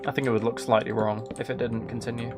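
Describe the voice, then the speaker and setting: A soft-spoken man chatting casually on a gaming livestream, thinking aloud in a musing, tentative way as he builds. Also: mid-range voice, level tone, quick pace, music in the background. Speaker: livestream host.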